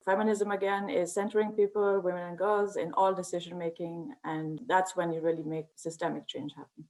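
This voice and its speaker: A woman from Pakistan, a senior policy expert, speaking in an earnest, measured way with some fillers. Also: on the low side, flat delivery, darkish tone, low-quality recording. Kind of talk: conversational self-introduction.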